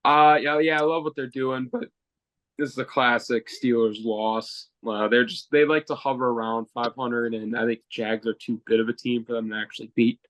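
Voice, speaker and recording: A man's voice: medium pitch, steady tone, moderate pace, a bit bright, rough recording. A man co-hosting a casual sports podcast, easygoing with short remarks. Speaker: podcast co-host.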